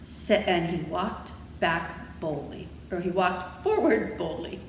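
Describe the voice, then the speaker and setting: Expressive woman storyteller in an echoey room. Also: fairly low pitch, slow pace, vocal fry. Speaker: library storytime reader. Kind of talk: animated children's story reading. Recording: echoey room with faint noise.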